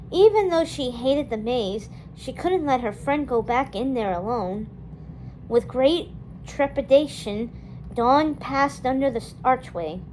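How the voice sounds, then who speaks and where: A woman's voice: fairly high pitch, darkish tone, slight background noise, low-quality recording. A woman narrating a children's novel aloud as a storyteller.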